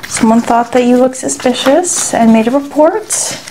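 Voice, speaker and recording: Woman acting as female airport security agent, ASMR role-play, highish voice, folksy, teasing, unhurried, noisy recording with clicks. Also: close to an everyday voice. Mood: officious, playful. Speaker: ASMR performer.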